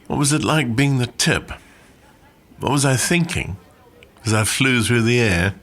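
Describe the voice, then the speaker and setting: An actor in a radio play monologue as an old, plainspoken war veteran and glider pilot, reminiscing conversationally with dry understatement. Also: male voice, mid-range voice, animated, unhurried, fairly bright tone, a little hiss or hum. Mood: wry. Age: older.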